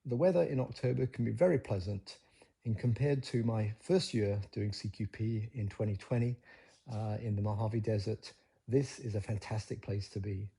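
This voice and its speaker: A man's voice: on the low side, steady tone, normal speed, vocal fry, warm tone, slightly rough, low-quality recording. A man narrating a hobby documentary from a script as its host.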